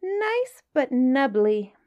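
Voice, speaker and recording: A woman's voice: high voice, big pitch swings, drawn-out words, highs cut off, voice pushed up, heavily acted. A woman voicing a small, clever fish in a storybook reading, speaking in a polite, scheming way. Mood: sly.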